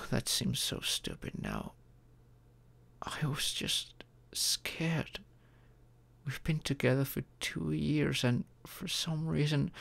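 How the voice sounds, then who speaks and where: A performer voicing a caring, earnest young romantic partner in an intimate ASMR roleplay, with deliberate pauses. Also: mid-range voice, vocal fry, fairly bright tone, heavily acted.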